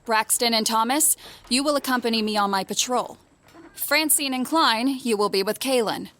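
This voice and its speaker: A woman's voice: on the high side, moderate pace, a bit bright, lightly acted. A woman playing a composed, watchful knight captain with a formal, authoritative manner in an audio drama. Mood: commanding.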